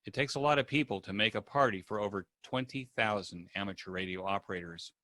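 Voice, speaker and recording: Male event host, lower register, crisp forward tone, scripted formal welcome. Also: quick pace, bright, forceful tone. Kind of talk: prepared welcome address.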